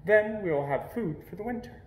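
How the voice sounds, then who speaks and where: Male reader as gentle, practical picture-book mum, patiently instructing her child, lively intonation, roomy sound. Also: sits high, moderate pace, voice pushed up. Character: gentle, practical mother of a little girl.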